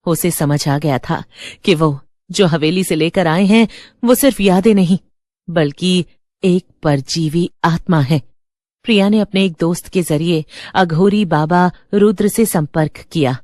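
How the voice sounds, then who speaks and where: A woman's voice: lowish voice, measured pace, darkish tone, no room sound, studio quality. A woman narrator reading a scripted horror story in a dramatic storytelling style.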